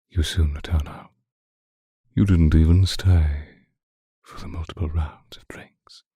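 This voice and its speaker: Casting: male actor for obsessive vampire, extremely low and dark voice, predatory yet courtly, intimate ASMR roleplay, often whispering. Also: no echo, heavily acted. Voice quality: very deep, husky, often breaking into whisper. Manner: slow, intimate, menacingly courteous, drawn-out phrases.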